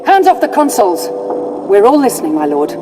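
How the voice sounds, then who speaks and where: A woman acts a disciplined Imperial lieutenant in a video game. She is brisk, tough and commanding, with a medium-pitched voice. Music plays clearly behind her. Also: very animated, natural voice. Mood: dutiful deference.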